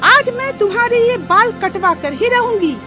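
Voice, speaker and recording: An actress playing a sharp-tongued, indignant woman in a family drama cartoon. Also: extremely high pitch, lively intonation, normal speed, over music. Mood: scolding. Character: feisty, scolding women of a family drama cartoon.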